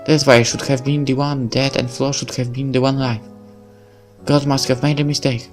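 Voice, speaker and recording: A man's voice: medium pitch, little pitch movement, a little fast, soft background music, voice pushed up, close to an everyday voice. A man voicing a grieving young woman agent who blames herself, tearful and self-deprecating, reading a visual novel. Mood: despairing.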